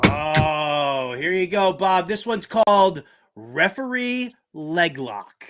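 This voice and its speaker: High-pitched man hosting casual sports talk. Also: expressive, takes it slowly, fairly bright tone, clean tone. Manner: slangy, jokey, informal. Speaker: sports talk show host. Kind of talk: casual comedic banter and interviewing.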